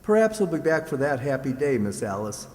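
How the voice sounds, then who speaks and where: In an echoey hall, a man plays a respectful young servant of old-time radio drama. His earnest voice swings widely in pitch. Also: very animated, fairly quick, a little mellow, close to an everyday voice. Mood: reassuring. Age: young adult. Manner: polite, earnest. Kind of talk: old-time children's radio play acting.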